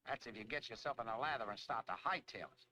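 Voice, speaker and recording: Tough grumbling American tank soldier, 1940s training film: brash, sharp, swooping emphatic delivery, noisy old soundtrack. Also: male voice, medium pitch, animated, fast talker. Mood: brash instruction.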